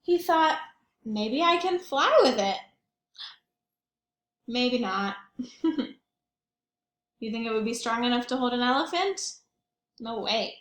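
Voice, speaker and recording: A woman's voice: on the high side, lively intonation, slow pace, long pauses, fairly bright tone, reverberant, a little hiss or hum. A woman reading picture books aloud to young children, expressively and with questions for her listeners. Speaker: children's read-aloud host, teacher or librarian.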